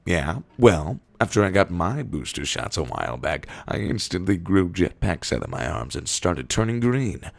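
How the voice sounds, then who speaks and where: Very deep, gravelly young man reading aloud. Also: very animated, moderate pace, few pauses. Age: young adult. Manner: foul-mouthed. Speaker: YouTube host reading internet posts aloud. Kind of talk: dramatic mock-serious reading and rambling commentary.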